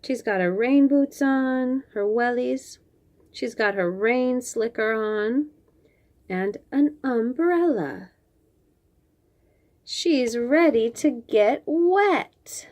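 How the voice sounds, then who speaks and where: A woman reading picture books aloud to young children, expressively, with drawn-out phrases and chatty asides. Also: a little high, animated, darkish tone. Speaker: children's read-aloud host.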